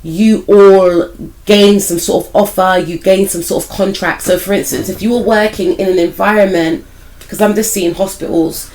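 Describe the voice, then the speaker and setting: A woman with a croaky voice, still recovering from a cold, giving a casual, rambling tarot reading and speaking straight to her viewers, with many hedges about what she feels. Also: mid-range voice, steady tone, moderate pace, darkish tone, poor audio. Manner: speaks directly to viewers as "some of you". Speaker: tarot reader and spiritual life coach.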